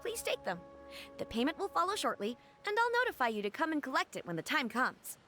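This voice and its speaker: A woman's voice: high-pitched, big pitch swings, fairly quick, fairly bright tone, soft background music. A woman voicing a polite, cheerful, businesslike young editor in a video game, courteous and upbeat. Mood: delighted. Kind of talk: video game character acting.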